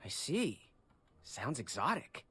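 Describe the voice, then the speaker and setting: An actor playing a friendly, eager young would-be knight in a fantasy game, polite and a little awkward. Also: male voice, mid-range voice, huge pitch swings, slow, sharp tone, heavily acted. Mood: cheerful, curious. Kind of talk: animated video game acting.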